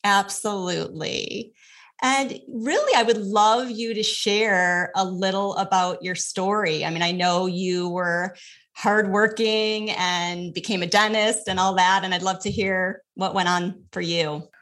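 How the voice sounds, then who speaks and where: A woman's voice: medium pitch, fairly even intonation, unhurried, a bit bright. A woman hosts a podcast in an upbeat, friendly way. She chats casually and drops in everyday fillers as she talks. Her voice sits in a middle register. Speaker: podcast host. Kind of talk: casual interview chat.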